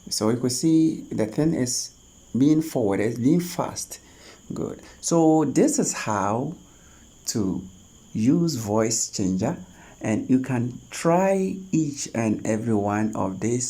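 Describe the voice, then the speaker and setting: The voice of a tutorial host, slow and mellow with a husky edge. The delivery is instructional and a little halting, moving step by step through a screen demonstration at a medium pitch. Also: lively intonation. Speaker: host of a tech tutorial channel.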